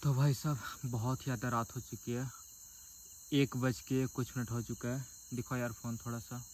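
A young man talks casually to his viewers from a forest camp. He jokes with his friends and calls his audience guys. He sits at a medium pitch, recorded on a handheld camera, with a faint hiss of the outdoors underneath. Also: little pitch movement, moderate pace, darkish tone. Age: young adult. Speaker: camping vlogger. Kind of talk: casual vlog chat. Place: outdoors in a forest campsite and inside a tent.

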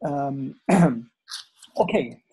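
A man with a German accent giving a webinar talk over a video call, halting and full of fillers and restarts. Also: medium pitch, expressive, background noise. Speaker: webinar speaker, university lecturer. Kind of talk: hesitant presentation on a video call.

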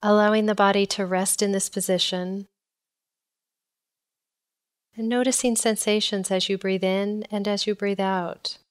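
A woman leading a guided body scan meditation in a calm, measured, instructional way. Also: medium pitch, smooth.

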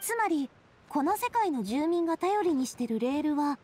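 A woman playing a gentle, thoughtful young adventurer in an anime video game, soft-spoken and inquisitive. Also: high voice, lively intonation, moderate pace.

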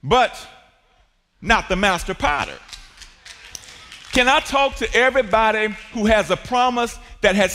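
High, bright-voiced man preaching in a hall. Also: a little hiss or hum. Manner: preaching with rising shouts and call-and-response. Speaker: pastor. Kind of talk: sermon. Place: large church auditorium stage. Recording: long hall reverb.

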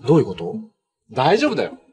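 A lively, cheerful young superhero. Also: male voice, mid-range voice, fast talker, husky, exaggerated voice. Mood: thoughtful, casual. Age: young adult. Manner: casual, friendly, upbeat. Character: cheerful, earnest young male transforming superhero. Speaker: actor in a TV superhero series. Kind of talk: live-action TV drama acting.

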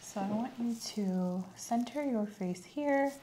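A woman speaking in a gentle, measured, clinical way, often dropping to a whisper, in a soft ASMR medical exam roleplay. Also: on the high side. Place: small indoor room set up as an exam office. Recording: faint background noise.

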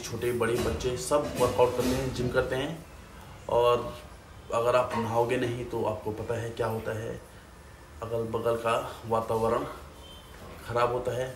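A man's voice: medium pitch, completely flat delivery, unhurried, long pauses, a little hiss or hum. A man in his thirties talking casually to his phone camera in a fitness vlog, explaining his routine step by step and slipping English phrases into his talk. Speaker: fitness vlogger. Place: small room at home, then a gym. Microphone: phone camera at arm's length. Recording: echoey room with music behind.

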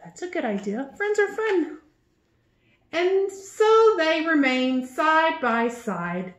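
A middle-aged woman reads a picture book aloud to young children like a preschool teacher, with expressive, deliberate phrasing. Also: sits high. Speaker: preschool teacher reading a story. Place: small office with bookshelves. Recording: roomy indoor sound.

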